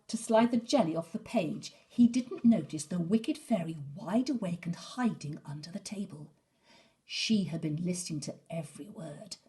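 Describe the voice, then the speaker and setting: A woman reads a children's bedtime story in a slightly low voice. Her intonation is very animated, with dramatic phrasing that can soften into breathiness.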